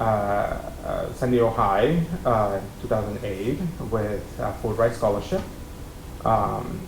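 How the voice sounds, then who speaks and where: A man in his thirties, from Mexico, tells his story to a college class from a seated panel. He speaks into a desk mic in a relaxed, conversational way, filling his pauses with ums and uhs. The room sounds echoey, and a faint rumble runs underneath. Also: gravelly. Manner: conversational, many ums and uhs, gestures with hands. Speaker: keynote speaker. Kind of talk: personal story talk to students. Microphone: desk mic on stand.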